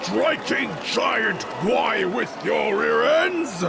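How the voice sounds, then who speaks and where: A man playing a boastful, bullying giant street fighter in an audio drama, taunting and gravelly. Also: extremely high pitch, expressive, extremely slow, over a noisy crowd, heavy background noise, heavily acted. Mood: angry, indignant. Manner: taunting, speaks of himself in third person.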